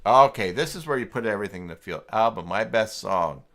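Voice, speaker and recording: A man hosting a casual live software tutorial, thinking aloud in an informal, unscripted way as he works through the steps. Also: fairly low pitch, animated, fairly quick. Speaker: livestream host and software tutor.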